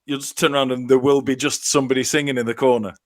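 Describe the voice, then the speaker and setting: Guy hosting a podcast, excited, trips over his words, mid pitch. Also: fast talker. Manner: effusive, stammering enthusiasm. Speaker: podcast host. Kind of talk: casual chat.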